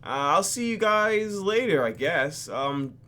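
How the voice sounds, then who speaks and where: Animated, bright-voiced sarcastic man gaming. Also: high-pitched, takes it slowly. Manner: animated, sarcastic, exclaims and mutters. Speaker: YouTube gaming host. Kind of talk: let's play, reading game text aloud with joking asides.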